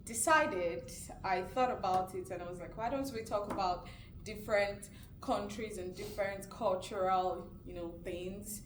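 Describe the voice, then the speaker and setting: A woman's voice: medium pitch, unhurried. A young woman from Nigeria hosting a casual group chat, talking chattily with lots of fillers. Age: young adult. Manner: chatty, lots of "like" and "you know". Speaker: host. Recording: echoey room with background hiss.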